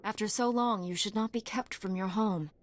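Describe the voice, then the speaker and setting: An actress playing a calm, principled female knight in a video game, speaking in a measured, polite way. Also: medium pitch, natural voice. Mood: concerned. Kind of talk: scripted video game acting. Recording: long reverb, music underneath.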